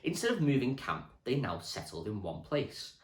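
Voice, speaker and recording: A man, a primary school teacher, reading a children's history book aloud with clear, expressive storytelling for children. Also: medium pitch, moderate pace. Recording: echoey room.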